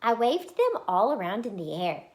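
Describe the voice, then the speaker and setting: A woman voicing an excitable, boastful little schoolgirl in a children's storybook, with childish grammar and gushing emphasis. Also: a little high, normal speed. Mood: chatty, self-satisfied. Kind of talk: first-person children's storybook read-aloud.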